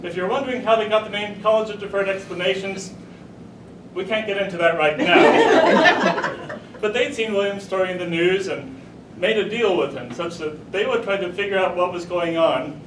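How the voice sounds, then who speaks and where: High-pitched man telling a tall tale, roomy. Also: lively intonation, normal speed. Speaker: storyteller at a speech contest. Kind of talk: humorous tall-tale speech to a live audience. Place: room with a live audience, recorded from a distance. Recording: roomy, distant mic, rumbling noise.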